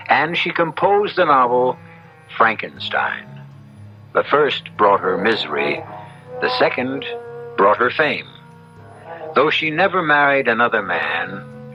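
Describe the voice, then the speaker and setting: A man hosting a 1970s radio mystery drama, introducing the story in a measured, dramatic storyteller's manner. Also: mid-range voice. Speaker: radio drama host and announcer. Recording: muffled 1970s radio broadcast, faint music.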